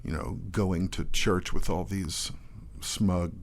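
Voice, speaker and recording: Low-voiced man in thoughtful discussion. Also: steady tone, slow pace, a little hiss or hum. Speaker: guest, theatre artistic director and performer. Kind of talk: casual in-depth interview discussion.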